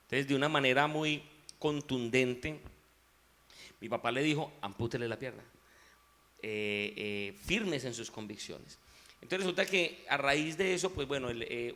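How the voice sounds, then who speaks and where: A man with a Colombian paisa accent, a musician guest giving a reflective, unhurried faith testimony in an interview. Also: medium pitch, fairly bright tone.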